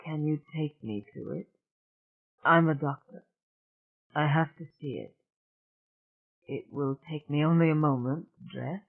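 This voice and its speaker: A narrator voicing a composed, decisive woman doctor with a small, hoarse voice, in an audiobook with phone-like sound. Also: mid-range voice, steady tone, unhurried, lightly acted. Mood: calm, resolute.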